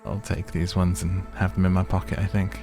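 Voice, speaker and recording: Guy doing chill asmr game commentary, really deep n creaky, musing softly to you. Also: level tone, a little fast, music in the background. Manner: gentle, whimsical musing to the listener. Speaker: gaming video host. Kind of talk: relaxed ASMR gameplay commentary.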